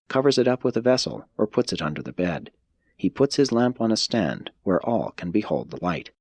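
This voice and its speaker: A man voicing an earnest, authoritative male religious teacher in an audiobook, speaking solemnly and deliberately to instruct. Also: on the low side, husky, dry recording, full character voice. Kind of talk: audiobook character reading.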